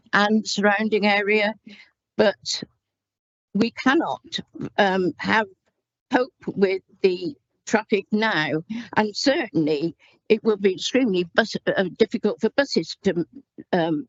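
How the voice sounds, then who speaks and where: A councillor, a woman, making a halting formal speech at a planning committee with many pauses and restarts. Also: medium pitch, no room sound. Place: council chamber. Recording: dull dry meeting audio.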